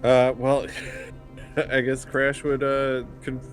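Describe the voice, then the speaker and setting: A man's voice: mid-range voice, monotone, slow, soft background music. A man talking casually and a little hesitantly as a player in a tabletop roleplaying game.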